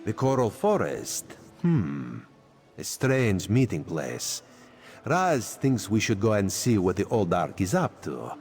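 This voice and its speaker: A sly catlike spymaster leans in with a low, lively voice that dips and climbs as he plots. He keeps his tone hushed and wry. Faint game music plays under him. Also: slow, exaggerated voice. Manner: refers to himself in third person, hushed and sly. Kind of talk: video game voice acting.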